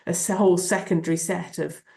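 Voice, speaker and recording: A woman on a webinar panel, thinking aloud with halting restarts. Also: on the low side, steady tone, creaky, mellow, echoey room.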